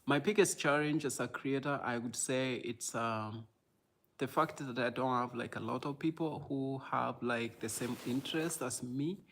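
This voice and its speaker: Creaky-voiced Kenyan man chatting casually. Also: mid-range voice, normal speed, a bit bright. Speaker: content creator and livestream host. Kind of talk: casual livestream chat answering viewers.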